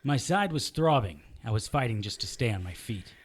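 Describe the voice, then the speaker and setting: A man's voice: mid-range voice, lively intonation, moderate pace, lightly acted. A man playing a tough, sardonic fighter who tells his battles in first person in a noir audio drama. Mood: grim, intense. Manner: sardonic, gritty. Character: tough, wry immortal male fighter narrating his own battles.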